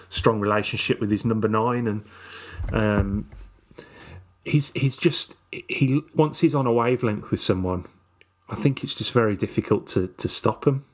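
A man co-hosting a casual football chat, speaking hesitantly with many fillers and repeated words. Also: fairly low pitch. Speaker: football podcast co-host. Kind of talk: casual match review chat.

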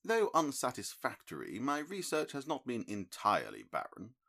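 A man reading an audiobook, voicing a calm, analytical middle-aged male detective who explains his reasoning in a crisp, precise, lecturing manner. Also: medium pitch, moderate pace, voice pushed up, exaggerated voice.

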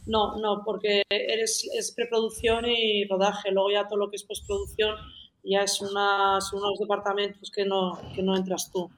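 A woman's voice: mid-range voice, monotone, normal speed, echoey room, a little hiss or hum, rough recording. A woman who works as an assistant director, talking emphatically and insistently in a casual panel chat.

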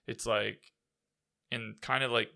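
A man hosting a podcast, chatting casually in a slightly raspy voice and rambling through a story with many fillers. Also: mid-range voice, little pitch movement, slow, pushed and bright. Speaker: podcast host. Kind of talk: casual chat.

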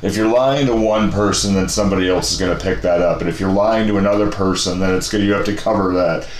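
A man with a very low voice talks in a loose, rambling way, full of slang and fillers. He sounds like he's in his thirties and hosts a relaxed chat. The room is echoey. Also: fast, a little hiss or hum. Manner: laid-back, slangy, rambling with many fillers. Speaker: podcast host. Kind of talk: casual conversation.

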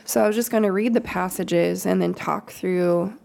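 A woman who serves as a children's pastor leads an informal Bible study on a podcast. She talks in a relaxed, rambling way, with ums and little laughs. Her voice has a noticeable creak. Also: fairly low pitch, normal speed. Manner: chatty, laughing asides, frequent ums. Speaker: kids' pastor.

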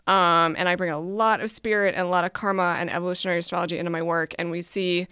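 A woman's voice: lowish voice, fairly even intonation, quick pace, sharp tone, clear, resonant tone, dry recording, clean studio sound. A woman hosting a podcast who chats casually, rambling enthusiastically and laughing often as she explains her work as an astrologer. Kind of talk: casual conversation.

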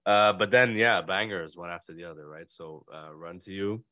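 Man co-host, Canadian, very low voice, dry close sound, joking and laid-back. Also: steady tone, moderate pace, pushed and bright, no echo. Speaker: podcast co-host. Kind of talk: casual chat.

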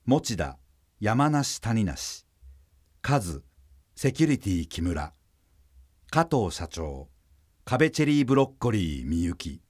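A man announces a cast list from a script. His voice is fairly low and very slow, with lively intonation and a dry, close sound. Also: no room sound.

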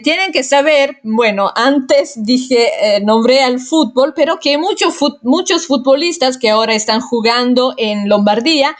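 A woman talks in a casual, friendly way, her voice sitting a touch high. She speaks a she is still learning, so she often pauses and corrects herself mid-word. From Italy. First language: Italian. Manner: chatty, hesitant with frequent fillers and self-corrections. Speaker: vlogger answering a viewer question. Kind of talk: casual chat.

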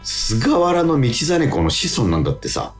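A man co-hosting a casual radio show, telling anecdotes and making announcements in a halting way with lots of self-interjections. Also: a little high, wildly swooping pitch, faint music behind.

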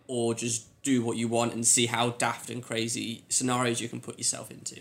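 A man's voice: mid-range voice, monotone, moderate pace, vocal fry, a bit bright. A man co-hosting a podcast, chatting in an informal, plain-spoken way. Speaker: podcast co-host. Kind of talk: casual chat.

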